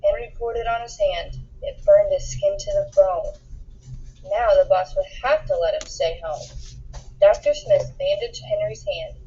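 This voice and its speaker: A woman reading a children's picture book aloud in an expressive storytelling style, acting out the dialogue with emphasis. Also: medium pitch, husky, muffled recording. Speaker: storybook reader.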